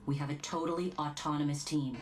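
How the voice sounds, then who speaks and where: An actress playing a composed, businesslike deputy director, giving a formal briefing in a game drama. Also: female voice, low voice, steady tone, brisk, darkish tone, distant mic, lightly acted. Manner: formal, crisp official briefing.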